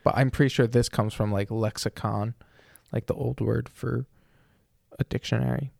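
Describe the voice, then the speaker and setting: Male podcast host, husky from a cold, gravelly, thinks out loud. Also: medium pitch, fairly even intonation, moderate pace, no room sound, clean studio sound. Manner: thoughtful, rambling, self-correcting. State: sick, sore throat. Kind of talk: casual conversational podcast.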